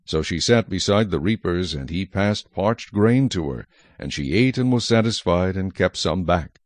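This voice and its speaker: A man reading scripted Bible narration in a measured, solemn manner. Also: low-pitched, animated, no room sound.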